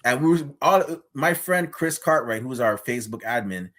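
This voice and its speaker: A man of middle pitch chats casually as an interviewer. He talks in a rambling, animated way, with lots of fillers and acted-out quotes. He is from Guyana. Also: moderate pace.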